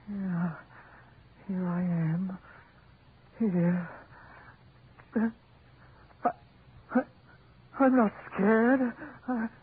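An American actor playing a desperate, guilt-ridden husband trapped underground in 1940s radio horror, pleading in a halting, melodramatic way. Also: male voice, high voice. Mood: frightened defiance. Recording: scratchy, narrow 1940s radio recording with hiss.

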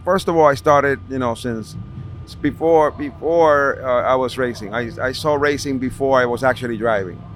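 A middle-aged man from New City, reminiscing casually and haltingly as a podcast guest. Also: mid-range voice. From New York City, Washington Heights. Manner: halting, repeats words. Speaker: podcast guest, veteran street racer. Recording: clear background noise of racing event. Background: vehicle and event noise.

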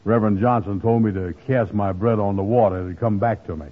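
A man playing a gullible, soft-hearted, grumbling fellow in a 1940s radio comedy, with hesitant, folksy, ungrammatical speech. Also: lowish voice, fairly even intonation, a little fast, close to an everyday voice. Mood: glum, self-pitying. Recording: muffled, noisy old radio broadcast.

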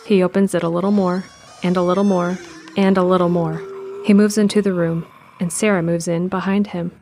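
A woman giving steady scripted narration of an audio drama in the present tense. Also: fairly low pitch, fairly quick, a little hiss or hum.

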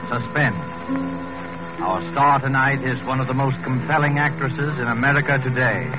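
A man delivers formal, portentous narration as the host of a vintage radio suspense show. His medium-pitched voice sits over loud music on a dull, band-limited old recording. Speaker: radio drama announcer and host. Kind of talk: 1940s radio scripted narration. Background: loud dramatic music.

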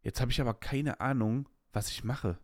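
A man's voice: medium pitch, steady tone, moderate pace, a little mellow, no room sound, clean studio sound. A man in his late twenties hosting a podcast, talking openly in a rambling, candid way full of slang and restarts. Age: late 20s. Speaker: podcast host. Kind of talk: casual personal monologue.

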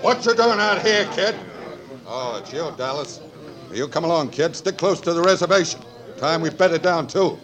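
A man's voice: fairly high pitch, animated, normal speed, crowd noise, lightly acted. An actor playing a hearty, blustery, commanding frontier lawman in a 1940s radio western. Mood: gruff, commanding.

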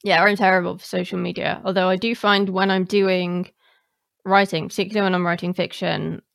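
Lady podcast host, kinda low n creaky, just chatting casually. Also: flat delivery, a little fast, no echo, clean studio sound. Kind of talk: casual interview chat.

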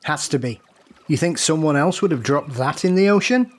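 A man's voice: on the high side, expressive, moderate pace, close to an everyday voice. A man playing a grieving diver in an audio drama, blunt and full of guilt. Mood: tense.

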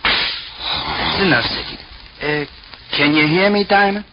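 A man's voice: on the high side, slow pace. A man playing a smug, menacing, mockingly polite gangster boss in an old radio detective drama. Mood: threatening. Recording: muffled, noisy old radio recording.

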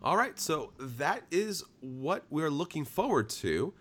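A man's voice: a little high, very animated, takes it slowly, fairly bright tone. A man co-hosting a podcast, talking in a casual, chatty and enthusiastic way with frequent restarts. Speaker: podcast co-host. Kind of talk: casual chat.

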